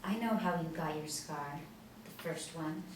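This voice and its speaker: A woman playing a guarded, quietly defiant young girl in a screenplay table read, speaking plainly and earnestly. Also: low-pitched, measured pace. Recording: distant, echoey, noisy room recording.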